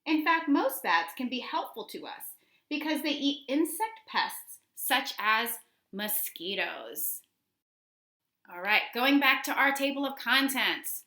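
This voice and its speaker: A woman teacher reading children's nonfiction aloud, addressing young listeners directly with deliberate, instructional phrasing. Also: high-pitched, very animated, bright, forceful tone, roomy sound.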